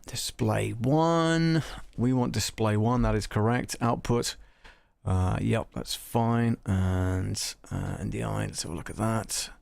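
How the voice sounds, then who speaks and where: A man's voice: fairly low pitch, gravelly, a little mellow, no echo. A man hosting a live audio production tutorial, chatting informally and often thinking aloud. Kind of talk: casual live tutorial chat.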